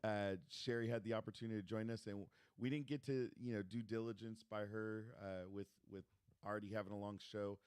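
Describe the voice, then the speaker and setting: Male co-host, low clear voice, dry close sound, loud and joking delivery. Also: steady tone, fairly quick, dry recording. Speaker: podcast co-host. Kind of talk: casual chat.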